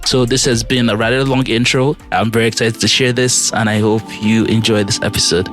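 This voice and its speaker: A man's voice: medium pitch, flat delivery, fairly quick, a bit bright, faint music behind. A man hosting a podcast, introducing his guest and asking questions with enthusiasm. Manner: enthusiastic, appreciative. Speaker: podcast host.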